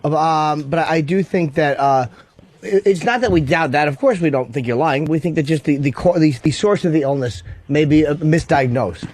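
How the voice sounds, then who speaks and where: A man with a husky voice co-hosting casual radio banter, teasing in a halting way. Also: fairly high pitch, darkish tone. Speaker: radio co-host.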